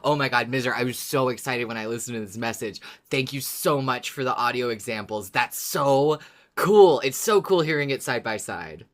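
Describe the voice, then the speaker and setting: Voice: medium pitch, moderate pace. A podcast co-host chatting casually and enthusiastically about a fan favourite, playful and given to casual swearing.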